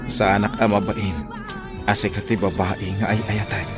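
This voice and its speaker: A man's voice: fairly low pitch, level tone, moderate pace, over loud music, lightly acted. A man with a Filipino accent playing an earnest young suitor in love in a radio drama. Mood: ardent. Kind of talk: Ilocano radio drama acting.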